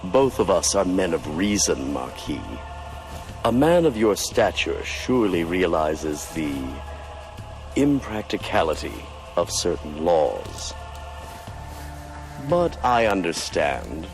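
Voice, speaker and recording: A deep, theatrical, suave villain. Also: male voice, big pitch swings, slow pace, faint music behind, full character voice, low-quality recording. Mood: mocking, smug. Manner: mock-courteous, condescending, theatrical. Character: suave, menacing male villain, a scheming researcher-sorcerer. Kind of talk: dramatic video game acting.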